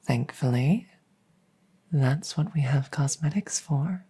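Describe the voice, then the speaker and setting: A woman playing a devoted, centuries-old vampire maid in a gentle ASMR roleplay, speaking softly and formally in a breathy near-whisper. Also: low voice, takes it slowly, full character voice. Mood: gentle, tactful. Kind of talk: soft-spoken roleplay comfort ASMR.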